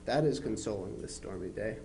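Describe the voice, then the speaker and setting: A man's voice: lowish voice, a little fast, a little mellow, a little hiss or hum, close to an everyday voice. A man playing a dutiful young Civil War soldier, reading his letters home aloud. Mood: weary, wry. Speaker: amateur reader in a historical society dramatization.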